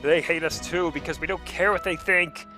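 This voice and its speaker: A man's voice: fairly high pitch, steady tone, moderate pace, pitched above the performer's own voice, natural voice. A man voicing a gushing, friendly teenage goth schoolgirl in a comic dramatic reading, with music behind. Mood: gossipy, indignant. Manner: gushing, girlish.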